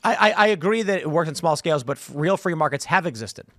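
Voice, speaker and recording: A man's voice: a little high, animated, fast talker, a bit bright, no echo. A man hosting a casual political panel show, opinionated and prone to acting out imagined exchanges. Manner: opinionated, acts out imagined dialogue. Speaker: podcast host.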